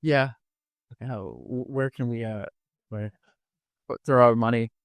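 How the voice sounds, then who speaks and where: Male podcast host, mid-pitched with a gravelly fry, halting casual delivery, dry close sound. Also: no room sound. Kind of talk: casual interview.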